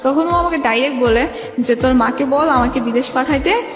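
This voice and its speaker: A young woman with a Bangladeshi accent telling her life story in a radio interview, halting and conversational, acting out remembered exchanges. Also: sits high. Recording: echoey reverb, faint music behind. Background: faint music.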